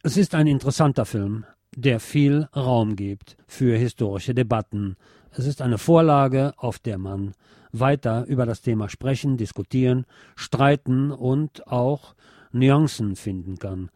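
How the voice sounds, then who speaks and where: A man hosting a radio programme, delivering a measured, deliberate film review as a monologue. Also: mid-range voice, warm tone, no echo, clean studio sound. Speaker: radio host.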